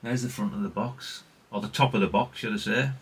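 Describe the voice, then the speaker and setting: A man's voice: lowish voice, brisk, slight background noise. A middle-aged British man talking casually in a rambling, chatty show-and-tell way, often correcting himself and adding tag questions. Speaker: hobbyist video host.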